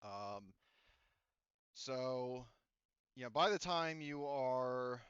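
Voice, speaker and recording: A man's voice: medium pitch, animated, very slow, fairly bright tone, clear, resonant tone, no room sound. A man narrating as game master of a tabletop game, rambling through scene details with many fillers and restarts. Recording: slightly dull lo-fi audio.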